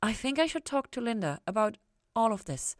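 Woman voicing a high-pitched, incredulous young woman. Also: sing-song, dry recording, studio quality. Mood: tentative. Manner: halting, exclamatory, incredulous. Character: young woman, upset, bubbly, awestruck by revelations. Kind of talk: audiobook-style character dialogue.

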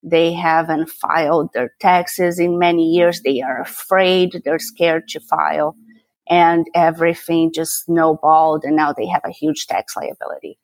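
A woman's voice: deep voice, little pitch movement, unhurried, no echo, rough recording. A middle-aged woman from Brazil with a Portuguese accent, speaking as an interview guest in an easygoing way, repeating words as she thinks. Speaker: guest, tax attorney and CPA. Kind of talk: casual interview talk.

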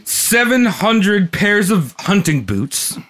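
Lively, laughing storyteller man. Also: sits high, takes it slowly. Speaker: podcast host. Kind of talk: casual comedy chat, telling a history story.

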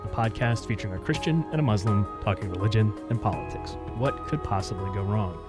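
A man's voice: lowish voice, a little fast. An American man reading a scripted podcast introduction as co-host. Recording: loud music behind the voice. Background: loud music.

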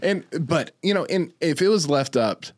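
A man's voice: fairly high pitch, expressive, moderate pace, no room sound, studio quality. A man co-hosting a casual podcast, talking enthusiastically in a rambling way and often repeating himself. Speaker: podcast co-host. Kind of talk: casual podcast chat about movies.

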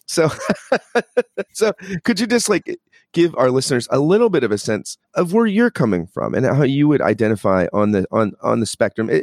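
A man's voice: mid-range voice, darkish tone, no echo, studio quality. A man hosting a podcast, asking rambling, joking questions full of fillers. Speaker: podcast host. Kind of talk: podcast interview.

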